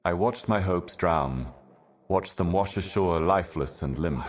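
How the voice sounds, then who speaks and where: A super deep ancient video game herald, grave and weary, slow cryptic doom monologue. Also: male voice. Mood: bleak, resigned. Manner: grave, deliberate, ominous, poetic.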